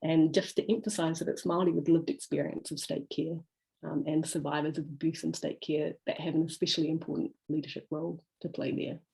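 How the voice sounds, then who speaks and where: Measured New woman, mellow voice. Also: low-pitched, little pitch movement, vocal fry, rough recording. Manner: measured, with frequent "um" fillers. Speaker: law academic presenter. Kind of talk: prepared academic talk. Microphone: video call.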